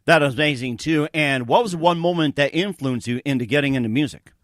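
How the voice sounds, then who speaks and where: Male talk show host. Slightly high pitch, very dry recording, rambling and hesitant. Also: fairly bright tone, no echo. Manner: halting, rambling, filler-heavy. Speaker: radio show host and interviewer. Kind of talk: interview hosting and promotional reads.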